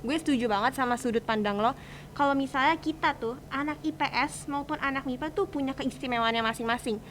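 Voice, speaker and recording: High-voiced teenage girl chatting on a podcast. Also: brisk, a bit bright, slight background noise. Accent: Jakarta Indonesian. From Indonesia. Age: teens. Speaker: student podcast host. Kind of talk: casual podcast conversation.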